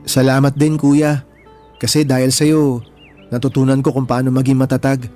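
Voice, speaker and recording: A man's voice: mid-range voice, flat delivery, moderate pace, dark tone, soft background music, lightly acted. A man playing an affectionate, boyish younger brother in a Filipino radio drama, earnest, with a Filipino accent. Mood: sentimental.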